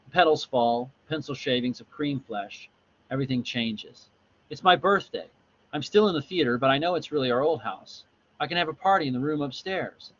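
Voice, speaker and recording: A man's voice: medium pitch, expressive, moderate pace, fairly bright tone, natural voice. Dreamy, sorrowful young woman narrator, performed by a man, dramatic storybook delivery, slightly dull audio with faint noise. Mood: dreamy, wistful. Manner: dramatic reading aloud.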